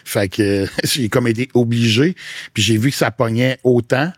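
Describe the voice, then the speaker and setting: A man's voice: medium pitch, moderate pace, slightly rough, no echo, studio quality. Frank Québécois man chatting. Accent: Québécois French. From Quebec. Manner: frank, animated, mixes in English slang. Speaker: fitness coach, podcast guest. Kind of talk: casual interview chat.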